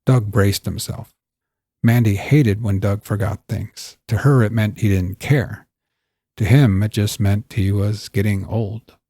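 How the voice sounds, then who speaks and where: A man's voice: on the low side, steady tone, measured pace, warm tone, no room sound. A man hosting and narrating a scripted fiction story. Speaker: host and narrator.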